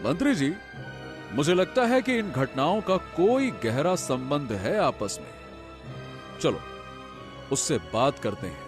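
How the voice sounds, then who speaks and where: An earnest, brooding young prince acted by a man from an animated moral story. His delivery is theatrical and very lively, stretching into pauses and leaning on emphatic repeats. Faint music plays underneath. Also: fairly high pitch, full character voice. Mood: curious, concerned.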